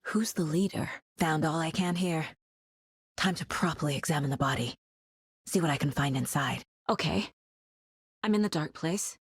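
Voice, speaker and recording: A woman's voice: deep voice, slightly rough, no room sound, studio quality. A woman playing a determined, guarded investigator in a horror video game, earnest and terse in a naturalistic delivery. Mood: focused, musing.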